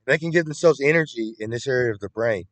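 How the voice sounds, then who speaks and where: A man's voice: mid-range voice, fast, no echo. A man talking in a rambling, repetitive solo monologue, with frequent restarts, recorded on a computer webcam.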